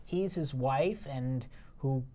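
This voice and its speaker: Lady critic, really low voice, chatting through a review, kind of halting and doubling back. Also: warm tone. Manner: halting, circling back to points. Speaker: theatre critic, co-host. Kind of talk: casual review discussion.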